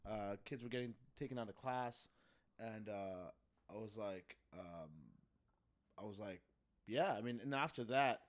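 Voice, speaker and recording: A man's voice: fairly low pitch, measured pace. A man chatting casually on a podcast, rambling, swearing and acting out the lines he quotes. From Germany and the United States. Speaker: podcast guest and amateur stand-up comic. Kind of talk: casual podcast chat with anecdotes.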